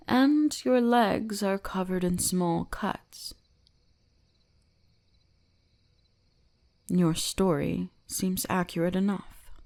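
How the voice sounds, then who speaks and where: A woman voicing a commanding, centuries-old kitsune shrine guardian in an ASMR roleplay, speaking slowly and deliberately with a teasing gentleness. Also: lowish voice, vocal fry. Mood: fascinated, possessive. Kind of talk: scripted ASMR roleplay addressed to the listener.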